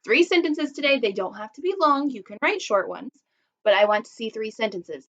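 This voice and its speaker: Woman, primary teacher, fairly high voice, expressive, child-directed, instructive read-aloud style, slightly dull home recording. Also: moderate pace.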